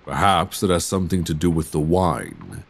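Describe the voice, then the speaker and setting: A man's voice: very animated, heavily acted. A man playing a tormented, courtly young Victorian vampire in an ASMR roleplay, speaking tenderly and formally, close to a binaural microphone. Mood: brooding, confessional. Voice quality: very deep, breathy, husky. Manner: formal, old-fashioned, measured phrasing. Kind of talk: ASMR roleplay audio drama acting.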